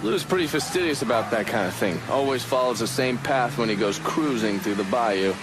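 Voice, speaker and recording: A man acting as a cool, teasing covert operative in a crime game. His mid-pitched voice is casual and matter-of-fact, with dry wit. Clear vehicle and outdoor noise runs behind him. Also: lively intonation, a little fast, close to an everyday voice. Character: wry, cool-headed war buddy and covert operations planner. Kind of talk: conversational video game cutscene acting.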